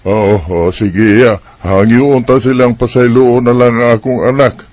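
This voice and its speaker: A man with a Bisaya Filipino accent acting as a worried, earnest rural father, speaking haltingly in a radio drama. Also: mid-range voice, fairly even intonation, darkish tone, close to an everyday voice. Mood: anxious, pleading. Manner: hesitant, halting.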